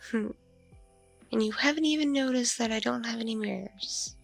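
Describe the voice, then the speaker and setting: A woman playing a seductive, hungry mature vampire mother in an intimate ASMR roleplay, teasing and condescending, breathy and slipping into whispers. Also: a little high, soft background music. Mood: incredulous, teasing. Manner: slow, teasing, hesitant hums and pauses.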